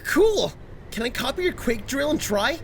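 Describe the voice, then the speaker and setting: A woman's voice: high-pitched, sing-song, normal speed, creaky, exaggerated voice. A woman voicing an earnest, good-natured young robot boy hero in a comic dub, boyish and matter-of-fact. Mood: eager. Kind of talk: cartoonish comic dub acting. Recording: faint noise, ambience behind.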